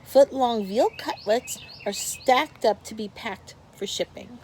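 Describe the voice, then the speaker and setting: A woman with a fairly high voice reads a storybook aloud as a teacher would for a young class. Her delivery is expressive, and she steps out of the text to explain things to the children. Noticeable background noise sits behind her voice. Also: takes it slowly. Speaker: teacher reading a picture book aloud to pupils.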